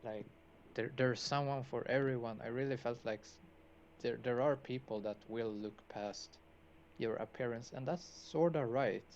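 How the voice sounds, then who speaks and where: A man's voice: mid-range voice, moderate pace, darkish tone, lo-fi sound, slight background noise. A young man telling a personal story in a rambling, casual way, full of "like".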